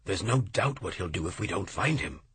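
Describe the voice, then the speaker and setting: A man voicing an impulsive, forceful poet who speaks urgently and bluntly in a storybook reading. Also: low-pitched, expressive, moderate pace, husky, heavily acted.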